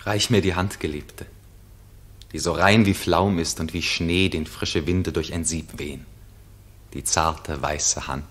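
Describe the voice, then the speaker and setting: An actor playing an ardent, devoted young prince in love, with lofty stage diction, in a 1960s German radio play. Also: male voice, low voice, steady tone, unhurried. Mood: ardent, vowing.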